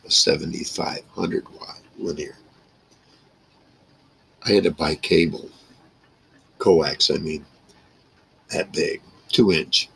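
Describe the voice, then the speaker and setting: A man speaks in an extremely low, creaky and husky voice. He rambles casually to camera, talking in halting, broken phrases like an informal vlog. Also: fairly bright tone. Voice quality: gravelly, rough. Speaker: vlogger reminiscing about a radio hobby. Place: small wood-paneled room indoors.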